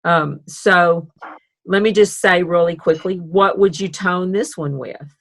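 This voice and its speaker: A woman's voice: fairly low pitch, unhurried, fairly bright tone. A woman teaching an online art class over a video call, chatty and upbeat with self-deprecating asides. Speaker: art instructor.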